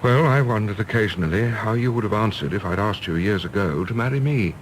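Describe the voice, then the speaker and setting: An actor playing an earnest, disillusioned middle-aged English diplomat in a radio play, with a formal English accent. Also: male voice, lowish voice, lively intonation, brisk, lightly acted, poor audio. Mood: regretful. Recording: faint background noise and ambience.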